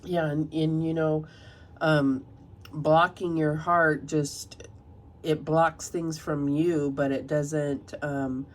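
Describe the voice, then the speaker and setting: A woman's voice: low-pitched, little pitch movement. A woman reading tarot cards and reading passages aloud from a guidebook, slightly nasal from a cold. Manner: soft, unhurried, reads passages aloud. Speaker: tarot reader.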